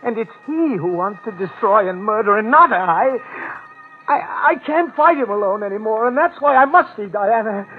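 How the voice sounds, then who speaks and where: Tormented killer on tinny old radio. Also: male voice, high-pitched, expressive, a little hiss or hum. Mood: anguished, desperate. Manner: theatrical, intense, halting menace. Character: tormented homicidal male asylum escapee, menacing and pleading. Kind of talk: old-time radio horror acting.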